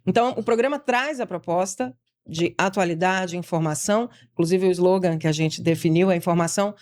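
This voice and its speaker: A woman's voice: lowish voice, fairly quick, a little mellow. A woman co-host explaining her new show in an articulate, explanatory manner. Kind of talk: casual talk show conversation.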